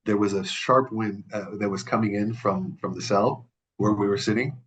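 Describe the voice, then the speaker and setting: A man's voice: on the low side, steady tone, moderate pace. A man from the Middle East, a podcast guest, telling personal stories in casual conversation, stressing points by repeating words and often restarting his sentences. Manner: frequent restarts and self-corrections.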